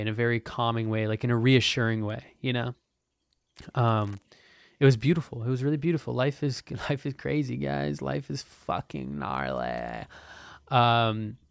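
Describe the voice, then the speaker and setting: Smooth-voiced young man rambling casually. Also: medium pitch, dry recording, studio quality. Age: 20s. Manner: loose, profane, meandering with frequent restarts. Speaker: podcast host.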